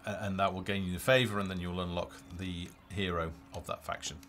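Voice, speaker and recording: A man giving casual, low-key live commentary as a game developer, explaining features as he plays. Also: level tone, a little fast, fairly bright tone, slight background noise. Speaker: game developer presenting a livestream. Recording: music behind the voice.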